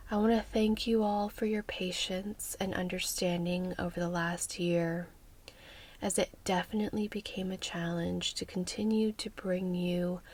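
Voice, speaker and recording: A woman speaks as an ASMR host in a hushed, breathy voice that sits a little low. Her delivery is very slow and measured, with a gentle, soothing manner that often slips close to a whisper. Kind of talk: soft-spoken ASMR.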